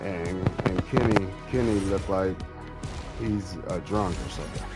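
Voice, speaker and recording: A man's voice: deep voice, measured pace. A man streaming a game with casual, slangy commentary, muttering and grumbling as he reacts to the play. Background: loud music, bouncing basketball game sounds.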